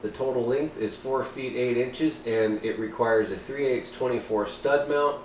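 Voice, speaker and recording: A man's voice: medium pitch, a little slow. A man giving a casual, folksy hobbyist product review, sometimes reading aloud in an informal way. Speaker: amateur radio hobbyist reviewing gear. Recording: roomy echo, steady background noise.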